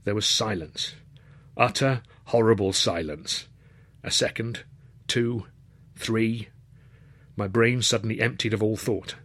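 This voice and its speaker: A man's voice: on the low side, takes it slowly, long pauses, a bit bright, no echo. A man narrating a comic novel in the first person, with wry, literary phrasing. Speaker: author and narrator.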